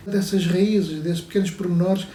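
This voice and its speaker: A man's voice: sits high, fairly even intonation, normal speed, mellow. A man from Portugal speaking reflectively in an interview, with repeated false starts. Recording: echoey, distant, faint noise.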